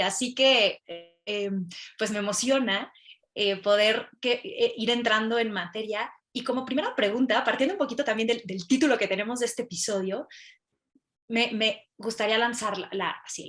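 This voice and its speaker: A woman co-hosting a podcast, asking questions in a halting way full of fillers. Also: fairly high pitch. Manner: warm, halting, many fillers. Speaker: podcast co-host. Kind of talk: casual interview questions.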